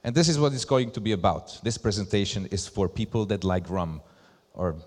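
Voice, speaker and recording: A man from Slovakia with a Slovak accent, giving a conference presentation as a brand ambassador in deliberate, emphatic short phrases. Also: on the low side, a little mellow. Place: large hall at a live event. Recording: echoey hall with long reverb.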